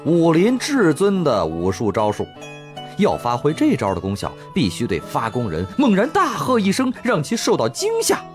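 An excitable ancient magistrate in a comic radio play. He sounds grand and theatrical, leaping from solemn to silly with huge swings of pitch. Music is clearly heard behind him. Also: normal speed. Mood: grandly explaining.

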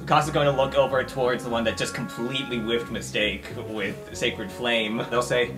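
A man's voice: mid-range voice, fairly even intonation, moderate pace, over music. A man playing an improvised tabletop game, casually narrating his character in a playful, teasing way. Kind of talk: casual improvised roleplay chat.

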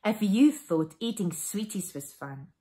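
A woman's voice: mid-range voice, measured pace. A woman in her forties with a South African accent, Afrikaans her first language, telling a Bible story to children for a family service from her living room. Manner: animated storytelling to children, asking questions. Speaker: minister.

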